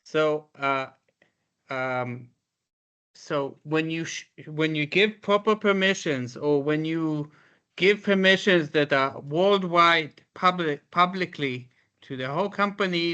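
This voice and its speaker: A man's voice: on the high side, pushed and bright, clean tone. A man from Israel, a SharePoint consultant, talking in a casual online panel, careful and hesitant as he corrects a point.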